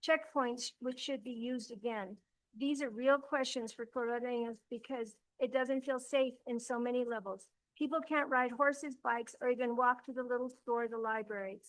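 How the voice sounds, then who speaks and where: Older lady at the council mic, kinda high voice, earnest, keeps restarting her sentences. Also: rough recording. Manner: earnest, halting, with restarts and self-corrections. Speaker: resident giving public comment. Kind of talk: public comment at a council meeting. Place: council chamber.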